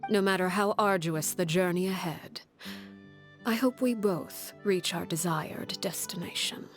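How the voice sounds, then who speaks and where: A woman voicing a cold, commanding leader of a ruthless organization in a video game, grave and measured. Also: lowish voice, close to an everyday voice. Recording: game audio over soft music.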